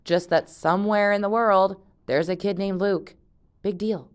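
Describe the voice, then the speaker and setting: A woman's voice: medium pitch, fairly even intonation, a little slow, smooth, pitched above the performer's own voice, close to an everyday voice. A woman reading aloud, voicing a confident, chatty teenage girl who brushes off worries. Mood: breezy. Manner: breezy and dismissive.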